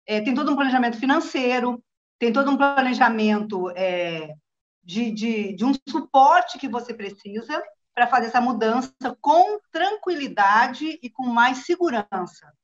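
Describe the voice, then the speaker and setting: A woman's voice: on the high side, lively intonation, unhurried. A middle-aged woman from southern Brazil with a Brazilian accent, giving a casual, conversational personal account as an interview guest. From Rio Grande do Sul, southern Brazil. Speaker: guest, journalist. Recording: dull, lo-fi online call.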